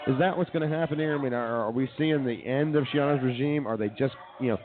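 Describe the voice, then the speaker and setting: A male radio host in loose sports-talk banter, with an average-pitched voice. The sound is narrow and tinny, as if it came down a phone line. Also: fast, a crowd in the background. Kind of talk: casual sports and comedy banter.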